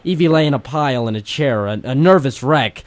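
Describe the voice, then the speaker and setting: A man's voice: mid-range voice, expressive, brisk, no echo, lightly acted. A man playing a hardboiled private eye, narrating dramatically and wryly in the style of an old-time noir radio drama. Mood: brooding. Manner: wry, dramatic first-person storytelling. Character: hardboiled male private eye narrating his own case.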